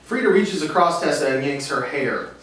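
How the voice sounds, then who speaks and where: A man's voice: on the high side, animated, moderate pace, fairly bright tone. Echoey male stage-direction reader. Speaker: narrator reading stage directions. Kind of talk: scripted narration at a table read. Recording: very echoey room, distant mic, faint hiss.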